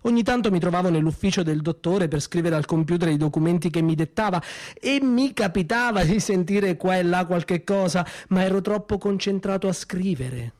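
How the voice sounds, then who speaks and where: A man acting a male office secretary who ironically denies seeing anything, delivering a theatrical monologue with mock-innocent denials and measured pauses. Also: high voice, darkish tone, close to an everyday voice. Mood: sly, ironic. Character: male office secretary feigning ignorance, sly. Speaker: stage actor.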